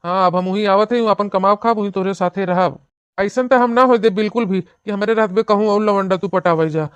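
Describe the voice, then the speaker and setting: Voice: mid-range voice, fairly quick, clear, resonant tone, dry recording, highs cut off, natural voice. An actor playing a lovesick, pleading young village brother-in-law in a comedy cartoon, gushing and wheedling in an Awadhi accent. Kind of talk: cartoon comedy acting in rural dialect.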